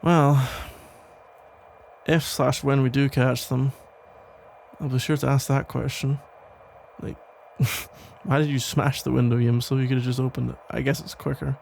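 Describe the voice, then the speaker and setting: A man playing a protective, worried police officer and ex-boyfriend in an ASMR audio roleplay, talking in a halting, casual, gently exasperated way with a gravelly voice. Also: mid-range voice, level tone, slight background noise. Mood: calmer, inquisitive. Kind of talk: ASMR roleplay, conversational one-sided acting.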